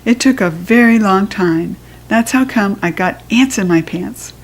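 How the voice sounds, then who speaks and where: Expressive American woman reading a children's story. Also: medium pitch, measured pace. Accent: General American. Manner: expressive, childlike first-person telling. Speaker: children's book reader. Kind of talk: read-aloud storybook narration.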